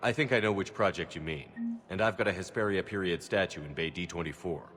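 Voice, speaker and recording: A man's voice: low voice, fast talker, fairly bright tone, long reverb tail, faint music behind. A man playing a terse, decisive military commander in a video game, with a curt, authoritative manner. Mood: helpful. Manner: curt, matter-of-fact, authoritative.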